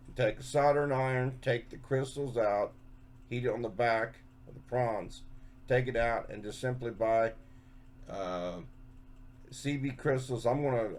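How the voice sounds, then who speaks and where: A man giving a casual, unscripted hands-on explanation as a hobby video host, halting and often repeating and rephrasing himself. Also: mid-range voice, a little mellow, slight background noise.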